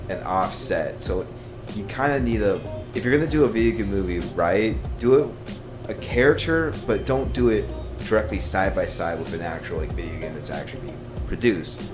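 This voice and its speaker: A man with a low voice chats casually on a podcast panel, feeling his way through his points with frequent filler phrases. Music plays clearly along with his speech. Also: lively intonation. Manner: halting, frequent "like" and "kind of". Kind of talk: casual chat.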